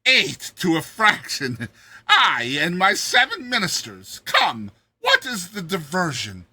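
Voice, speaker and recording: Boisterous storybook king, male reader acting, swooping pitch, rough-edged loud delivery, pompous and delighted. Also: wildly swooping pitch, slow, dry recording, full character voice. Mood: jovial, excited. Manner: loud, exclamatory, roaring with laughter. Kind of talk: dramatized classic short story reading.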